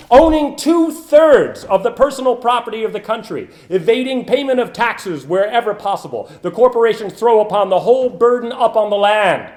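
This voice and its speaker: A man's voice: sits high, darkish tone. A man re-creating a formal 1890s political speech as an orator, emphatic and declamatory, posing rhetorical questions.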